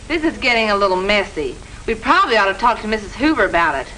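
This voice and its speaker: A woman's voice: mid-range voice, sing-song, fast, pushed and bright. An actress playing a sensible, reluctant schoolgirl who chairs a club in an old educational film. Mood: resigned, firm. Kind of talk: 1950s educational film acting. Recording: distant, noisy 1950s film soundtrack.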